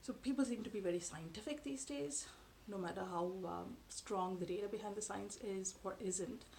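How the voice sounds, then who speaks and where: A woman in her thirties whose first language is Tamil, talking to camera from a sofa in her living room in a measured, thoughtful way with frequent pauses. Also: medium pitch, creaky, darkish tone, a little hiss or hum. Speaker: vlogger. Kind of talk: explanatory talk to camera. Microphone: camera in front at close range.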